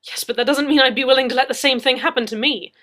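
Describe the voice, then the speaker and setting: An indignant, impetuous young man in an audiobook story, as a woman plays him. The voice is high and jumps up louder, with emphatic protests and a cheeky, self-important air. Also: fast. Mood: indignant, protesting. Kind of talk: dramatic audiobook character reading.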